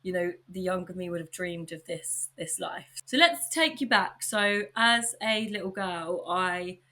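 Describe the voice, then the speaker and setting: A young woman with an English accent hosting her own podcast, chatting warmly and informally, a little husky from a sore throat. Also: lowish voice, lively intonation, measured pace. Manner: upbeat, chatty, lots of likes and you knows. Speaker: podcast host. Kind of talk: casual solo podcast chat.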